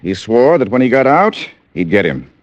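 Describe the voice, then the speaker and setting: An actor playing a polite, earnest male lawyer with a formal, measured way of talking, in old radio drama style. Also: mid-range voice, sing-song, close to an everyday voice. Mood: earnest, concerned. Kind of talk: 1940s-50s radio drama acting. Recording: dull, dry old radio recording.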